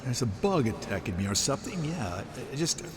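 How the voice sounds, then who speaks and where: A man's voice: medium pitch, very animated, brisk, close to an everyday voice. A man acting as an irritable, scheming mob lawyer in a contemporary radio drama, brusque and exasperated. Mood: brusque, impatient. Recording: echoey hall with crowd noise.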